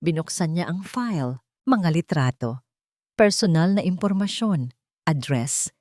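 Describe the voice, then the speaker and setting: Low-voiced woman narrating a dramatic story. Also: lively intonation, unhurried, a little mellow, dry recording, clean studio sound.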